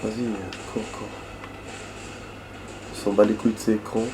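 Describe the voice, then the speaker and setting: A man hosting a gameplay video, his voice hoarse and fading from a cold that has dragged on. He rambles casually and swears when the action surprises him, speaking on the low side with game noise clearly heard behind him. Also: little pitch movement, measured pace. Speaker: gaming video host. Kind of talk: casual let's-play commentary. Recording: background noise and game sounds.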